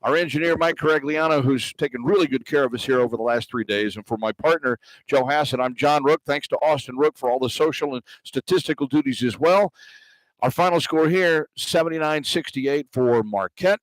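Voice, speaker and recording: A male radio sports broadcaster delivers a conversational post-game wrap-up. His voice sits at a medium pitch, and the sound is very dry, with little sense of the space around him. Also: fairly quick, dry recording, poor audio.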